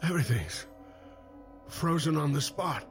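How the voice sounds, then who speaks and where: A man's voice: a little high, animated, a little slow. An actor playing a weary, regretful, protective man on the run in an audio drama, with blunt, plain speech. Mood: baffled. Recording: loud music under the voice. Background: loud music, vehicle drone.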